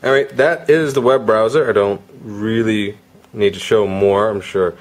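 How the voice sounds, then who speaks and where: A man giving a casual, unscripted product review, halting and rephrasing as he goes. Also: on the low side, steady tone.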